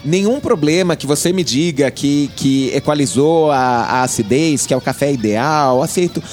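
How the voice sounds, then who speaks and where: A man's voice: on the high side, moderate pace, faint music behind. A man co-hosting a podcast, telling jokey stories in casual chat. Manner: animated, jokey storyteller. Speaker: podcast co-host.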